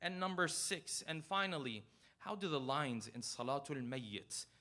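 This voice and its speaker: A man's voice: a little high, expressive, unhurried, sharp tone. A young man giving a sermon to a congregation, building his points through rhetorical questions and rising emphasis. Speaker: speaker giving a religious lecture.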